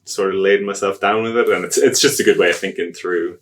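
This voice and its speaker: A man with an Irish accent, a guest on a podcast, chatting casually in a relaxed, self-deprecating way. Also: low voice, brisk, slight background noise. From Ireland. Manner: relaxed, self-deprecating, hesitant with many ums. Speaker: podcast guest, agile coach.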